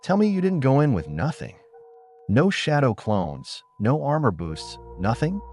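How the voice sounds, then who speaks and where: A man voicing an excitable young male tech teammate in an audiobook, talking in a casual, wide-eyed way. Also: medium pitch, unhurried, soft background music. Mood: disbelieving.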